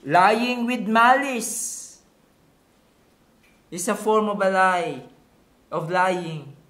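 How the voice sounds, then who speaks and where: A Filipino man delivers a sermon in a high voice, taking his time over each phrase. He teaches point by point, asking rhetorical questions and stressing key words by repeating them. The room around him echoes noticeably. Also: bright, forceful tone. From Philippines. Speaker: pastor. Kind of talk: sermon mixing Tagalog and English.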